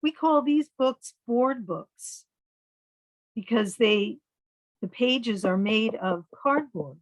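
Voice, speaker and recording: A woman storyteller reading picture books aloud to young children, pausing to ask them simple questions. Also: on the high side, lively intonation, no room sound, poor audio.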